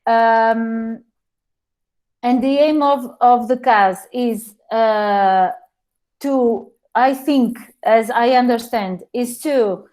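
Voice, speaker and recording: A woman with a Portuguese accent presenting a webinar over a video call, halting often and restarting her sentences. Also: on the high side, a little mellow. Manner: hesitant, frequent pauses and restarts.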